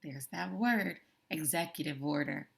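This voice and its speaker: A woman teacher reading a chapter of a book aloud to her students, slow and deliberate, stressing key words and adding brief asides. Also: sits low, gravelly, fairly bright tone. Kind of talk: classroom read-aloud of a book.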